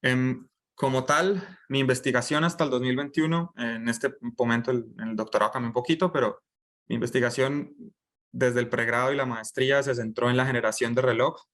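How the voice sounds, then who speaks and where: A man's voice: mid-range voice, steady tone, brisk, fairly bright tone. A young man with a Colombian accent, speaking as a guest lecturer and doctoral student in an informal online class. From Bucaramanga, Colombia. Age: young adult.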